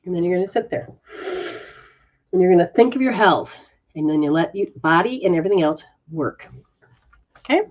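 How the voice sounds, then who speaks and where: Soft-coaching woman healer, muffled sound. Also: lowish voice, animated, brisk, pauses often. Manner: soft, coaching, repetitive phrasing. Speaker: metaphysical practitioner giving readings. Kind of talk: live guided reading and healing session.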